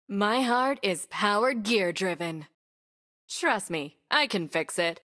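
A woman voicing a playful, confident young female car mechanic with expressive quips, as a video game character. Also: on the high side.

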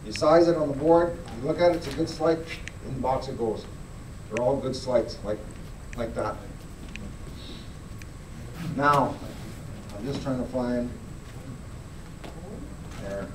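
A man's voice: mid-range voice, slow, long pauses, noisy background. A middle-aged man giving a plain-spoken live grading demonstration to an audience in a large indoor hall, often asking them to look closer. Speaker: fur grader. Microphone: far camera. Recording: echoey hall, camera from far off.

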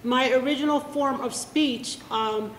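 Casting: bilingual woman, high voice, heartfelt, at a podium mic in an echoey hall. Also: a little slow, a little hiss or hum. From United States. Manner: bilingual, switching between English and Spanish, heartfelt. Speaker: poet reading at a library event. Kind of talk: spoken introduction to a poetry reading. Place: library event room. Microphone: podium microphone. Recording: echoey room, long reverb.

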